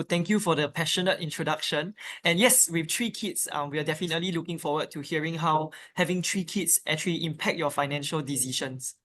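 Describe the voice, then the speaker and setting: This host runs a live webinar in an upbeat way, with lots of ums between points. The voice sits at a middling pitch. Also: fairly bright tone.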